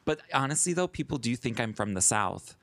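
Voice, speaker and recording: A man's voice: medium pitch, very animated, brisk, gravelly, a bit bright, dry recording, studio quality. A man from Chicago with a Chicago accent, co-hosting a podcast in playful casual chat. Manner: playful, laughs easily. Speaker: podcast co-host.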